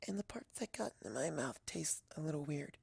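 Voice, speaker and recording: A woman voicing a shy, gentle young female pegasus pony in a soft, breathy, hesitant voice. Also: sits low, expressive, brisk, no echo, clean studio sound, full character voice. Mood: timid. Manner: hesitant, meek.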